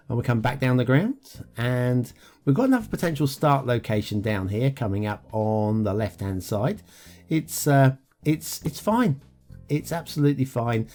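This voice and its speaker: A chatty man narrates a review of a city-building map, his voice swinging lively up and down as he chuckles and trails off into ums. Faint music plays underneath him. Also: medium pitch. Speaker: game map reviewer, show host. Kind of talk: casual gameplay commentary.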